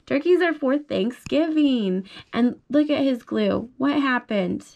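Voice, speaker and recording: A young mother reads a bedtime picture book to her small child in a bedroom, her voice a little high and soft. She reads slowly in a sing-song way, stopping often to ask gentle coaxing questions. Recorded up close on a phone held over her lap. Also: animated, creaky, lo-fi sound, slight background noise. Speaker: mother reading to her toddler. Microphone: phone held above the reader's lap.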